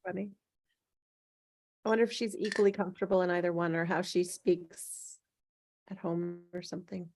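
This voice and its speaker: A woman hosting a casual group chat in a relaxed conversational way. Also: lowish voice, normal speed, long pauses. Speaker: host.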